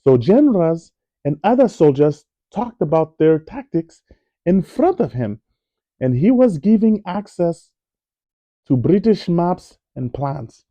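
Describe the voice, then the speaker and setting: A man reading a children's biography aloud in a slow, clear storytelling manner. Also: a little high, sing-song, no echo, dull audio. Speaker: read-aloud narrator. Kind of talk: children's book read-aloud.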